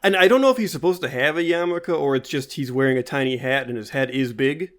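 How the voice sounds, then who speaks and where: A man's voice: medium pitch, quick pace. A young man co-hosting a podcast in casual chat, adding short, dry remarks. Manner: dry, brief interjections. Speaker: podcast co-host.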